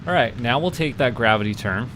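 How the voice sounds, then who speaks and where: A man's voice: medium pitch, expressive, a little fast, noisy background. A man with a Canadian accent giving casual gameplay commentary as a livestream host to a live chat. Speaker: livestream host playing a space simulation game. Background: music.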